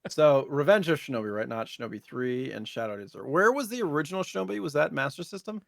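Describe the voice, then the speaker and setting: A man's voice: a little high, lively intonation, quick pace, creaky, no echo, clean studio sound. A man co-hosting a casual retro gaming podcast, speaking conversationally. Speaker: podcast co-host.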